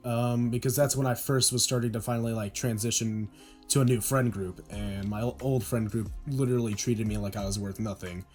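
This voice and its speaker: A man's voice: steady tone, a little fast, darkish tone. Young man chatting in a podcast over music. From Oklahoma. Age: young adult. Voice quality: deep voice. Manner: rambling, self-deprecating, many restarts. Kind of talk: casual podcast chat.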